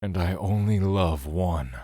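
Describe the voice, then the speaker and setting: An actor playing a bitter, grieving immortal spirit, speaking in a brooding, solemn way in an audio drama monologue. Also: male voice, low voice, takes it slowly, mellow, no room sound, studio quality. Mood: brooding sorrow.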